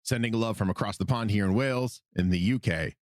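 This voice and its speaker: A man co-hosting a casual fan podcast, getting over a cold, with big pitch jumps and frequent laughs. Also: no echo, studio quality. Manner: animated, quick pitch jumps, laughs often. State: recovering from a cold. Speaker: podcast co-host.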